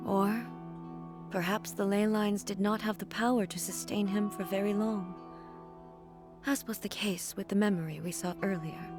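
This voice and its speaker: A woman voicing a solemn, reserved immortal ruler in a video game, soft and breathy, with formal, measured phrasing. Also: medium pitch, steady tone, music in the background, lightly acted. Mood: grave, composed.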